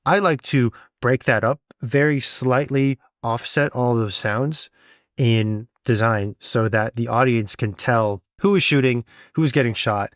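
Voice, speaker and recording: A man's voice: medium pitch, no room sound. Man, mid-range voice, casual podcast talk, methodical explainer, very dry recording. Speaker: audio drama sound designer, podcast co-host.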